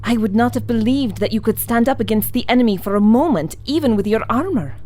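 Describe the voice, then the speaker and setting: An actress playing a powerful, solemn alien woman in a pulp science fiction radio drama, speaking in a measured, formal way. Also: fairly high pitch, expressive, mellow. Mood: solemn, amazed.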